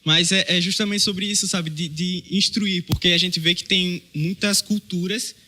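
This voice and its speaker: A man with a Brazilian Portuguese accent, a mission trainee talking earnestly on a podcast, often pausing and restarting his sentences. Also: high-pitched, steady tone, pushed and bright. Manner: earnest, hesitant with many fillers and restarts. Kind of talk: casual podcast chat.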